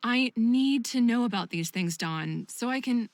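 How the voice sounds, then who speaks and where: A woman playing a caring but firm friend in a visual novel, questioning in a measured, probing way. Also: fairly high pitch, animated, dry recording. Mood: concerned.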